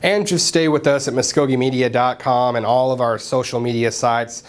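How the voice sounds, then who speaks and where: Even-toned male news show host. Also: medium pitch, moderate pace. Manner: steady, conversational, filler-laden delivery. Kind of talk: studio interview and show wrap-up. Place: broadcast studio.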